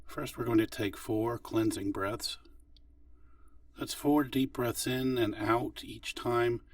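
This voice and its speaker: A man leading a guided body scan meditation as an instructor, speaking gently and unhurriedly with long pauses. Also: medium pitch, steady tone, dry recording, recorded from a distance.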